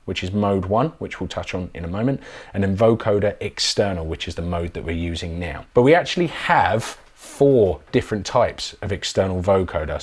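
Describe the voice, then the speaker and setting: A man presenting a casual, chatty product demonstration in an informal way. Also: lowish voice.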